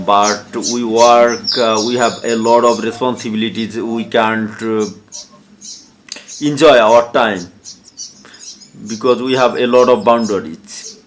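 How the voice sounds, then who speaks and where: Bangladeshi guy practicing english on a video call, slow and halting, noisy background. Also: medium pitch, steady tone. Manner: halting, simple learner's English. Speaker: English learner chatting with a stranger online. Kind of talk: casual English practice conversation. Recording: clear background noise, online call.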